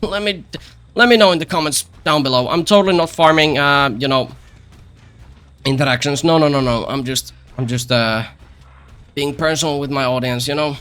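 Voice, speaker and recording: A man's voice: a little high, normal speed, fairly bright tone, smooth. A man giving casual gameplay commentary as a streamer, rambling and repeating phrases with self-deprecating, joking asides. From Finland. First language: Finnish. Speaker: game streamer.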